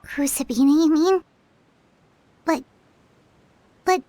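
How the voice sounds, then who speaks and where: Very high, squeaky little fairy. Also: female voice, takes it slowly, mellow, no room sound. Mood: hesitant, shocked. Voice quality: squeaky, childlike. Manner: earnest, questioning. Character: tiny childlike floating fairy companion, curious and earnest.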